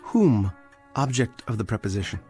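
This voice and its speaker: Dry, quietly defiant bookish man, played by an actor. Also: lowish voice, lively intonation, moderate pace, soft background music, natural voice. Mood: dry, composed. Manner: precise, dry, pedantic, ironic. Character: quietly defiant, bookish condemned male librarian. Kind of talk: radio drama acting.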